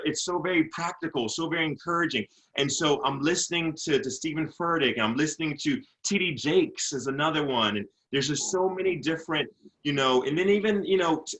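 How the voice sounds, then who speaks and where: A man being interviewed as a motivational speaker. He talks earnestly, often repeats words as he gathers his thoughts, and slows down and goes lower when he talks about faith. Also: pushed and bright, poor audio. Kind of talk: casual interview talk.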